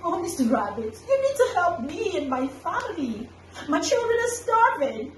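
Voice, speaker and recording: A woman's voice: very high-pitched, sing-song, normal speed, voice pushed up. A woman voicing a desperate, pleading male tortoise with exclamatory delivery in a children's animal story. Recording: echoey, distant mic, background noise.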